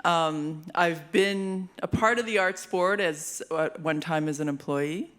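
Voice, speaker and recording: A woman's voice: deep voice, a little slow, a bit bright. A woman with a Canadian accent hosting an awards show with playful, giggly, informal stage banter. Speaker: master of ceremonies at an awards show; hip hop artist, rapper.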